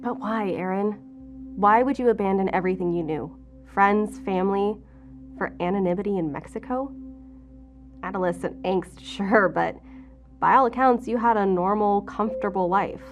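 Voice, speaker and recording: A woman playing a guarded, determined federal investigator in an audio drama, with a dry, measured, probing manner. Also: medium pitch, soft background music, close to an everyday voice. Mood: probing, reflective.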